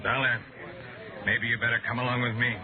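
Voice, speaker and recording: An actor playing a big, menacing, gravel-voiced man with a grudge in a 1950s radio drama. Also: mid-range voice, level tone, a little fast, natural voice. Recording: tinny vintage radio, loud music behind.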